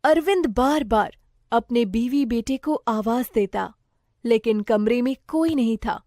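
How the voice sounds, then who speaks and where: A woman's voice: on the high side, unhurried, dark tone, a little hiss or hum. A woman reading a scripted moral story as its narrator.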